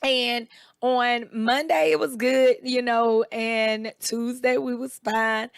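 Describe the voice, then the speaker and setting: A woman with a raspy, slightly hoarse voice, chatting casually as co-host and acting out the people in her stories. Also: on the high side, slow, no room sound, studio quality. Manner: animated storyteller, quotes people in raised voices. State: recovering voice, a bit hoarse. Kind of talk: casual chat.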